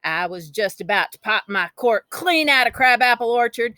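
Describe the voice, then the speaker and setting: A woman reading a children's story aloud in an expressive, dramatic, folksy storytelling style. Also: fairly high pitch, moderate pace, no echo. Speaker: storybook reader.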